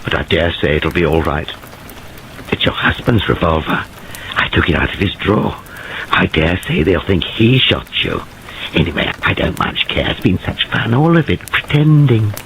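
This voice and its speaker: A man voicing an unhinged young man who talks like a child, his voice climbing high and gleeful. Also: animated, normal speed, vocal fry, a bit bright, slight background noise, full character voice, poor audio. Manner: childlike and gleeful, rising shrilly.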